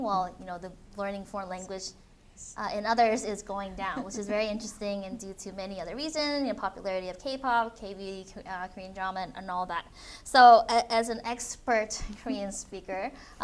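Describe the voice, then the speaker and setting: A woman moderating a live conversation, asking long questions with frequent restarts and "uh" or "um" pauses. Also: medium pitch, a bit bright. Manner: halting, restarts often with "uh" and "um". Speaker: interview moderator.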